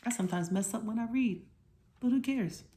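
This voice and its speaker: A woman's voice: a little high, fairly quick, warm tone, a little hiss or hum. A woman reading a children's storybook aloud, with asides to her young listeners.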